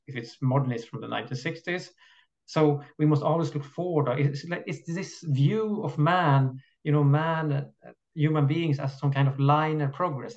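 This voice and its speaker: Swedish guy making his case firmly, bit higher voice, dull muffled sound. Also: darkish tone. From Sweden. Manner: firm, argumentative, deliberate. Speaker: guest, architecture activist. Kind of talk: interview over a call.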